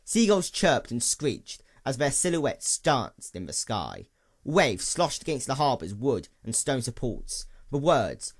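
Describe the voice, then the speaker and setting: Male story narrator, animated pitch, expressive dramatic read. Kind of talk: dramatic scripted narration of fan fiction.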